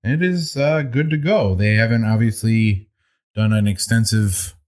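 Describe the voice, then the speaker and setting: A man's voice: on the low side, unhurried, a little mellow. Laid-back man recapping news casually. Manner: laid-back, swears casually, laughs often. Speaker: podcast co-host. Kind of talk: casual chat, reading news.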